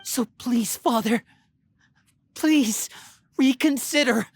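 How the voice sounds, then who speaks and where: A woman playing an earnest young character in a video game, pleading softly and formally with a stern parent. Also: on the high side, takes it slowly, husky, dry recording, clean studio sound, full character voice.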